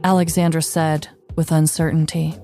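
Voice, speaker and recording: A woman's voice: low-pitched, flat delivery, moderate pace, warm tone, faint music behind. A woman podcast host narrating a scripted comedic story.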